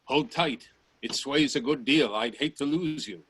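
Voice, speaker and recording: An actor playing a confident, eccentric professor and inventor in a radio play, assured, formal and grandly insistent. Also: male voice, medium pitch, measured pace, a bit bright, breathy edge, rough recording. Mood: brisk, commanding.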